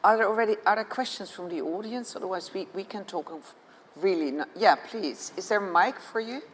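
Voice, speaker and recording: A woman's voice: medium pitch, fairly quick. A woman moderating a live panel informally, often repeating short affirmations. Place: live event with audience. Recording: echoey hall, faint background noise.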